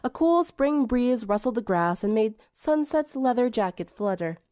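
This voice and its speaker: A woman's voice: fairly high pitch, animated, moderate pace, dark tone. A woman reading scripted fiction aloud as an audiobook narrator.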